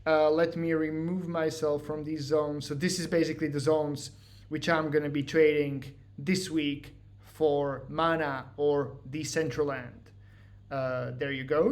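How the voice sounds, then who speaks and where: A man with a Slovak accent walking through trading charts in a casual, joking way, mocking himself and filling his talk with "like" and "you know". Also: on the high side. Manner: joking, self-mocking, filler-heavy. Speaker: trading educator. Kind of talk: casual screen-share walkthrough.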